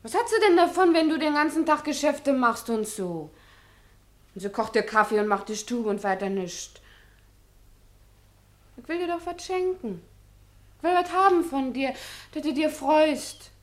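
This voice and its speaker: High-voiced girlish sweetheart. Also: lively intonation, moderate pace, long pauses. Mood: pleading, tender. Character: small, girlish, devoted young Berlin sweetheart. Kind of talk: radio drama dialogue.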